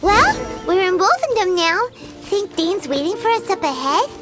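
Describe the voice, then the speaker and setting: A woman voicing a tiny, excitable fairy companion in a video game, with a squeaky childlike voice and a bubbly manner. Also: unhurried, full character voice. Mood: curious, chatty. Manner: bubbly, animated, exclaiming. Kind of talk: scripted game dialogue. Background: game music.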